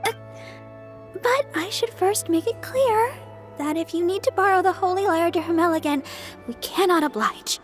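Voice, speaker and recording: A bubbly, earnest young church idol with a very high, bright voice. She greets everyone warmly and eagerly. Soft game music plays faintly behind. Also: animated, moderate pace. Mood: cheerful. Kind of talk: video game voice acting.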